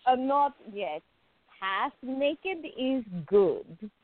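An actress playing a playful, adoring, flirtatious woman in love in a radio play, with a teasing, excitable manner. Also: high voice, drawn-out words, no room sound, exaggerated voice. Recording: narrow phone-like, dry sound.

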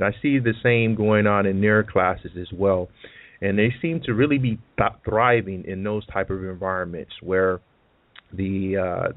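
Low-voiced man, phone-like sound. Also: dry recording. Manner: halting, repeats words, agreeable. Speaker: podcast host, student. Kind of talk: conversational interview. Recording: dry, phone-like narrow band.

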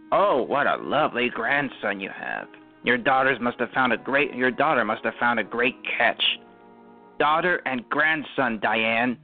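An actor playing a weary, regretful older father in a radio drama, brooding and self-pitying, with halting, repeated words. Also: mid-range voice. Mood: bitter. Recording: muffled phone-like audio.

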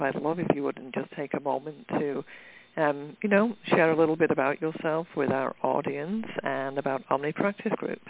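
A woman's voice: sits low, creaky. A woman hosting a radio interview, upbeat and chatty, with many "you know" fillers. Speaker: radio show host. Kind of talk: hosted interview chat. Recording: phone-like lo-fi line.

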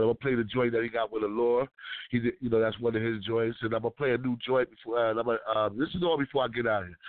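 A man DJ talks to his listeners in a relaxed, slangy flow, his nose stuffed up from a cold. His voice sits at a middling pitch and sounds thin and tinny, like a phone line. It is dry, with no room around it. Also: dry recording. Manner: laid-back slangy patter. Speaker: radio DJ host. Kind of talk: casual radio show talk. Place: his own small studio.

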